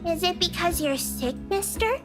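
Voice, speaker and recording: A small girl, squeaky high and bouncing widely in pitch. She is curious, blunt and chirpy, a visual novel child character with music playing behind her. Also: lively intonation, normal speed. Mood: matter-of-fact. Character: little girl of six or seven, curious and blunt.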